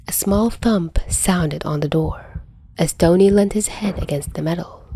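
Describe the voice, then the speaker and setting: Woman narrating a story, soft dark tone, slightly low, really dry recording. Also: dry recording. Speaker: podfic narrator.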